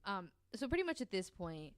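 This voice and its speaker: A woman's voice: mid-range voice, sing-song, a little fast, smooth, dry recording. A woman co-hosting a podcast, telling a story casually with many ums and the odd swear word.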